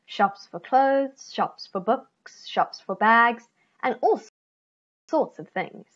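A middle-aged woman reading a children's picture book aloud, expressively, with deliberate phrasing and stressed key words. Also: a little high, expressive. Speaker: children's story reader. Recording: slightly dull, lo-fi computer recording.

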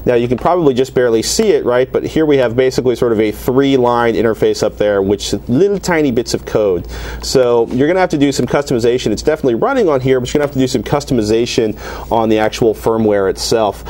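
A man's voice: medium pitch, quick pace, darkish tone. An American man around forty hosting a tech show, casually demonstrating a product in a rambling, conversational way. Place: small TV studio at a desk. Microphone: lapel mic.

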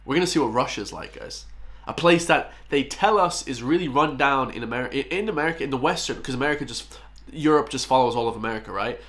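A man's voice: mid-range voice, expressive, quick pace, fairly bright tone. Chatty young Irish man reacting. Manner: chatty, keeps addressing "guys". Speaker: YouTube reactor. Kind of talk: casual reaction commentary. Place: indoors in a small room.

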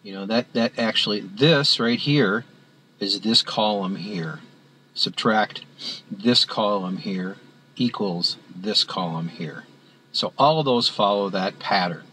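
A man walking through a spreadsheet on screen as an instructor, talking in a halting way with many fillers and restarts. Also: fairly low pitch, bright, forceful tone, slight background noise, rough recording. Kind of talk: screen-recorded software walkthrough.

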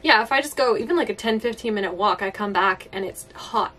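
A woman's voice: mid-range voice, fast talker, a bit bright, far from the mic. A young woman who lives on a sailboat, chatting casually, often saying "like" and laughing lightly, inside a small boat cabin. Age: young adult. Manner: chatty, giggly, uses "like" often. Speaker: sailboat-living vlogger. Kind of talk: casual chat.

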